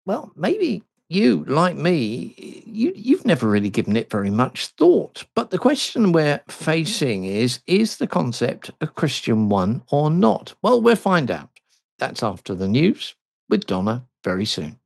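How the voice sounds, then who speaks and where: A man's voice: on the high side, huge pitch swings, measured pace, creaky, darkish tone, breathy edge. A man presenting a live radio programme in a conversational style.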